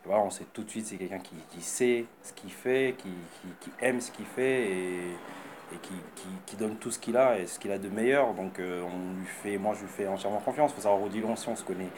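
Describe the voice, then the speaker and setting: A man, an investor behind a concept store, answering interview questions in quick, repetitive, stumbling talk. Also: on the low side, darkish tone, a little hiss or hum.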